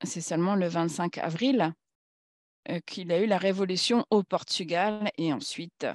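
A woman speaking at a conference in a formal political talk, halting and searching for words as she goes. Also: fairly low pitch, no echo, clean studio sound.

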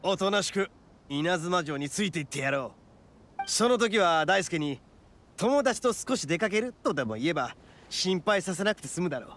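Casting: boastful young oni, anime game. High-pitched, very animated, rough and cocky. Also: male voice, moderate pace, a little hiss or hum. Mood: earnest, confident. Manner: cocky, rough slangy speech. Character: brash, boastful young oni man, hot-headed but soft-hearted.

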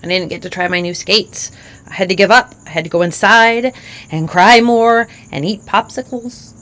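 A woman's voice: mid-range voice, moderate pace, a bit bright, close to an everyday voice. A woman voicing her five-year-old self, an eager little girl telling how she fell. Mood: dramatic. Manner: breathless, eager, tumbling run-on sentences.

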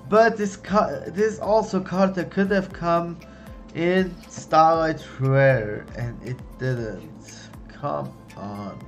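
A young man giving casual running commentary as he opens trading card packs, chatty, excited on big pulls and low on misses, recorded at home on a desk mic. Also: extremely slow, music in the background. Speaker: card-opening youtuber. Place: home room, indoors.

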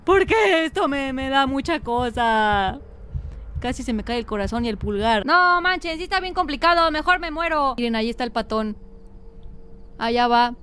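A woman's voice: high voice, bright, forceful tone. A woman with a Mexican accent commentating a horror game as a streamer, dramatic and teasing, sometimes breaking into song. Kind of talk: gaming livestream commentary.

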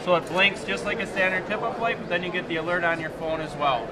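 A young man explaining a product at a trade show booth, steady and explanatory. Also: high voice, fast. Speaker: product demonstrator. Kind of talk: product demo. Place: busy expo hall booth. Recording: loud crowd noise behind.

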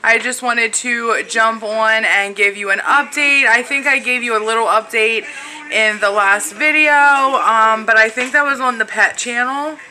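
A woman's voice: on the high side, steady tone, a little slow, bright, forceful tone, a little hiss or hum. A woman in her twenties giving a rambling, casual vlog update from her home kitchen, filming herself on a phone held close. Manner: chatty, rambling, lots of fillers. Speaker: family vlogger. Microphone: phone camera held close.

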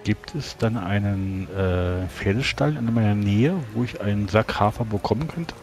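A man with a fairly low voice takes part in a tabletop role-playing session. He speaks improvised dialogue as his character, with music playing faintly underneath. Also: moderate pace, darkish tone.

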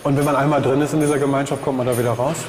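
A man's voice: medium pitch, fairly even intonation, very fast. A man working as a reporter asking short, probing questions. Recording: distant, echoey with noise.

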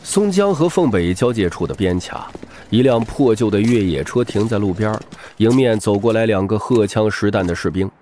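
A man's voice: on the low side, expressive, moderate pace, dark tone, slight background noise. A man narrating a novel as scripted audiobook storytelling, with a dramatic delivery.

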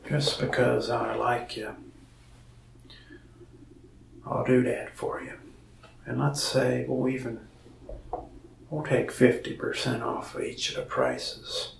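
A young man playing a lonely, friendly mask shop salesman in a soft-spoken ASMR roleplay, talking slowly and often slipping into a whisper. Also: medium pitch, animated, roomy sound, recorded from a distance, noisy background, full character voice. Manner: slow, soft-spoken, gravelly with frequent whispered stretches. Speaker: ASMR creator. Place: small indoor room, mask wall behind.

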